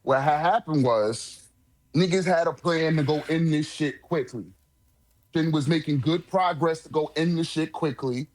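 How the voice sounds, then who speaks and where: A man's voice: a little high, moderate pace, low-quality recording. A man in a casual manga discussion, talking in an emphatic, profane, slang-heavy way as a commentator.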